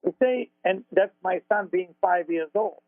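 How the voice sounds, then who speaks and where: A man's voice: high voice, measured pace, no echo. A man with an Israeli accent, a spiritual teacher telling a story on a radio talk show in earnest, confessional tones and broken English phrasing. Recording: dry phone-line sound.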